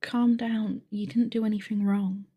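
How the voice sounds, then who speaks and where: A woman playing a calm, bookish young monster game master in an audio roleplay, measured, articulate and formal. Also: medium pitch, husky, dry recording, muffled recording. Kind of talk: acted audio roleplay, narrating a tabletop game.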